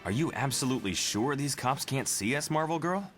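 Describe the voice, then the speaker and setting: A man's voice: medium pitch, animated, fairly quick, music in the background, natural voice. A man playing a serious, anxious young team leader in a motion comic. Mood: troubled. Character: troubled, earnest young male team leader.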